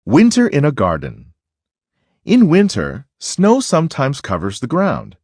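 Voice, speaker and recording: A man reads a children's nonfiction book aloud at a slow, deliberate pace. His pitch moves up and down dramatically from phrase to phrase. The voice is slightly husky, and he phrases things for young listeners. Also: huge pitch swings, a little mellow, no echo, clean studio sound. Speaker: reader of a children's picture book.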